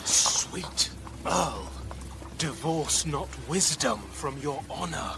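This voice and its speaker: High-voiced, measured rebel lord. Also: male voice, expressive, background noise, exaggerated voice. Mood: concerned. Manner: formal, measured verse delivery. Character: courtly, loyal rebel lord, steadfast counsellor to an earl. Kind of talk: Shakespearean radio drama acting.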